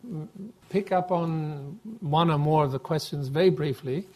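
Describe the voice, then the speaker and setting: A man's voice: fairly high pitch, measured pace, a little mellow. A man moderating a panel, politely calling on speakers and guiding the discussion.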